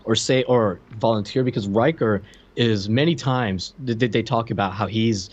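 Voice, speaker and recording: Halting, lowish-voiced male podcast co-host. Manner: halting, explanatory, with quoted asides. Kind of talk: casual chat explaining TV episode details.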